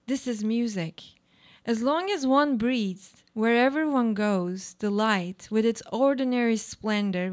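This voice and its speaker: A woman acting a weary, guarded woman in a radio play, speaking literary monologue in a deliberate, declamatory way. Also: fairly high pitch, lightly acted. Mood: reflective.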